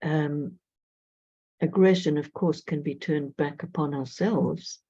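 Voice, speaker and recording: A woman speaking as an astrologer guest in an interview, thoughtful and measured. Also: sits low, fairly even intonation, dark tone, slightly rough, dry recording. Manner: thoughtful, measured, with frequent "you know". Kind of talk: reflective conversational interview. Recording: dull lo-fi audio.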